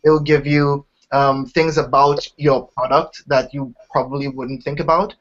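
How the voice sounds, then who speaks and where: A man's voice: medium pitch, little pitch movement, normal speed. Eager Trinidadian man, lo-fi. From Trinidad and Tobago. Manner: eager, with frequent restarts. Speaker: startup founder, interview guest. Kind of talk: casual interview talk. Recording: dull lo-fi sound.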